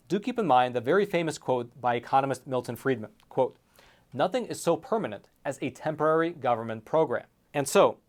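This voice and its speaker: A man hosting a scripted news commentary show, speaking emphatically with conversational asides to the viewers. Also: mid-range voice.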